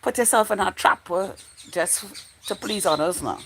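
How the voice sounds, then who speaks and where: A woman's voice: mid-range voice, normal speed, fairly bright tone. Trinidadian woman, casual livestream, noisy background. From Trinidad and Tobago. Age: 40s. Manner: rambling, repeats phrases while thinking aloud. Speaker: livestream host. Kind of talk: casual live chat with viewers.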